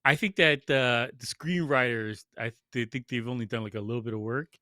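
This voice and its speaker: A man's voice: mid-range voice, fairly quick, bright, forceful tone, dry recording, clean studio sound. A man co-hosting a podcast, giving a casual film review in an informal, conversational, lightly amused way. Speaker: podcast co-host reviewing films.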